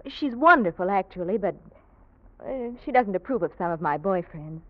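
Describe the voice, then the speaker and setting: An actress plays a poised young woman in a classic radio drama, reserved and courteous. Her voice sits at an average pitch for a woman. The sound is a dull vintage broadcast recording. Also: lively intonation, normal speed, close to an everyday voice. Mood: polite, coy. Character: poised, guarded young single city woman. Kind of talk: old-time radio drama acting.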